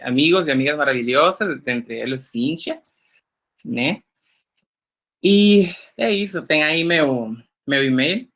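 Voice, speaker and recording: Nicaraguan man lecturing, bright voice. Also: medium pitch. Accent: Nicaraguan Spanish. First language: Spanish. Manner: casual, joking, many fillers like "né" and "é". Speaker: graphic designer teaching a workshop. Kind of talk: online workshop lecture.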